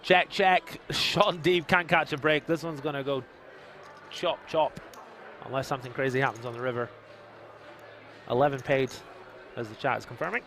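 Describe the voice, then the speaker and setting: A man's voice: medium pitch, steady tone, fairly bright tone, slight background noise. Jokey man calling a poker stream. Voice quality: soothing radio voice. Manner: jokey, quick one-liners. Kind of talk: live poker commentary and chat reading. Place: commentary booth at a casino.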